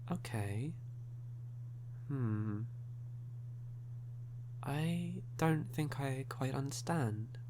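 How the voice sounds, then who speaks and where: A man playing a suave, sly, hungry male vampire in an ASMR audio roleplay, speaking in a teasing, drawn-out manner. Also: medium pitch, noisy background, lightly acted. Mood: charming, coy. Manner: slow, drawn-out, teasing, with breathy chuckles.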